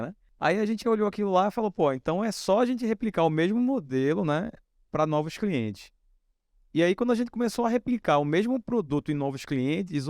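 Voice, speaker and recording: Man from northeast brazil, forties, founder telling anecdotes, smooth clear voice, dry close sound. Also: on the high side, no echo. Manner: relaxed anecdotal, many fillers like "né". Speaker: founder and guest speaker. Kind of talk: storytelling on a stage talk.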